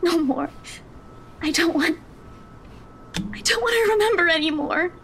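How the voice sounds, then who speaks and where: A woman's voice: a little slow, fairly bright tone, heavily acted. A woman playing a terrified young woman in a video game, pleading and repeating herself as she breaks down. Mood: panicked pleading. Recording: rumbly background noise.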